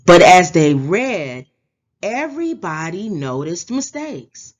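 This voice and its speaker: Animated woman reading a storybook, unhurried. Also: fairly low pitch. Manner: expressive, theatrical storytelling. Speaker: read-aloud presenter. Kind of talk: children's storybook read-aloud.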